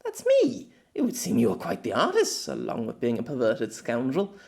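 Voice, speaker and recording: A man's voice: medium pitch, wildly swooping pitch, normal speed, creaky, darkish tone. A man voicing an easily flustered young warrior maiden who speaks in formal, archaic, theatrical phrases. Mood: amazed.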